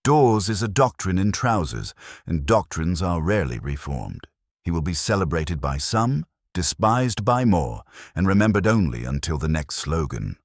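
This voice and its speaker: A man's voice: deep voice, sing-song, moderate pace, no echo, studio quality, full character voice. A man voicing a brilliant, stern, pompous detective in an audiobook, speaking in formal, terse pronouncements. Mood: cool, scornful.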